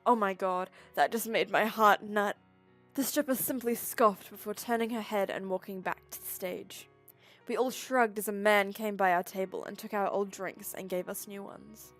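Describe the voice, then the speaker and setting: A woman giving a mock-dramatic, deadpan comedic reading of a story. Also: mid-range voice, moderate pace, soft background music. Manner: deadpan mock-serious narration. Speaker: reader.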